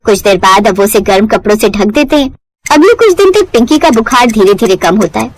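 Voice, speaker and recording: Woman, scripted story narration, dramatic, high pitch, animated intonation. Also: brisk, dry recording.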